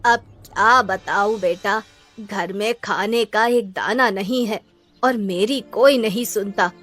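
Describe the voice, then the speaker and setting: A woman's voice: medium pitch, takes it slowly, fairly bright tone, soft background music. A woman voicing a poor, pleading old village aunty in a children's cartoon story.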